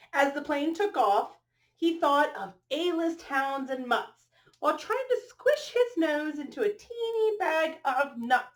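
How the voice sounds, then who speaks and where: A woman reading a storybook aloud to young children, expressively drawing out phrases and pausing to ask them questions. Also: high-pitched, expressive. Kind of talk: storybook read-aloud with asides to young listeners.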